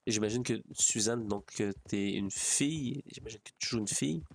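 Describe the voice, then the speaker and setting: A man's voice: fairly low pitch, normal speed, vocal fry, slight background noise. A man with a Quebec French accent chatting casually to livestream viewers, rambling and repeating his phrases. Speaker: streamer.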